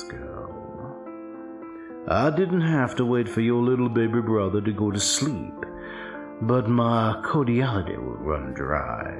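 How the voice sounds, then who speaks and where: An actor playing a sinister old vampiric villain in a dark fantasy audio drama, syrupy, drawling and mock-polite with menace. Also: male voice, lowish voice, vocal fry, full character voice. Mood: threatening. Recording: echoey, music underneath. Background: music.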